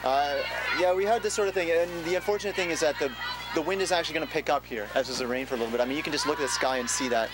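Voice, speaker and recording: A man's voice: a little high, very fast. Man casually commentating outdoors in the rain. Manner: relaxed, joking, rambling with fillers. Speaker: play-by-play commentator. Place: outdoor sideline of a rainy field. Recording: noisy outdoor recording.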